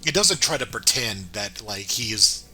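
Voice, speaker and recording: A man co-hosting a casual review, agreeable and hesitant as he thinks out loud. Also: on the low side, lively intonation, moderate pace, faint music behind, low-quality recording. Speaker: co-host of a cartoon review show.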